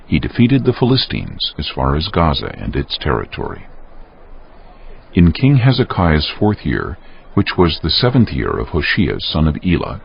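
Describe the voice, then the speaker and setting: Man, scripted scripture narration, solemn, gravelly bass, lively intonation, muffled audio with faint music. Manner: solemn, measured storytelling.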